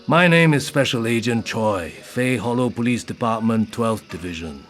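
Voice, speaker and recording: An actor playing a persistent, self-assured middle-aged detective, wry and insistent in audio drama dialogue. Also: male voice, mid-range voice, lively intonation, normal speed, a bit bright, soft background music, natural voice. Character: persistent, smooth-talking middle-aged male senior detective.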